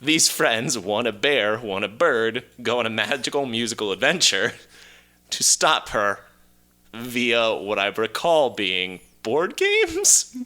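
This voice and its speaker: Bright-voiced, animated man bantering on a podcast. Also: medium pitch. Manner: joking, quick with quips. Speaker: podcast co-host. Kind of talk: casual comedic banter, pitching movie ideas.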